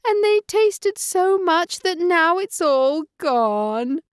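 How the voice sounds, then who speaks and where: A woman voicing an excitable, plaintive baby bear boy with a shrill, squeaky voice for a children's storybook. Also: takes it slowly, dry recording, clean studio sound, full character voice. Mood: upset.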